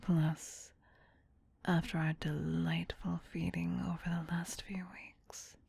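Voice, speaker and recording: A woman voicing a tender, possessive vampire queen in a soft, breathy, soothing ASMR whisper. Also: on the low side, flat delivery, full character voice. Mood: gently affectionate. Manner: slow, doting, soothing.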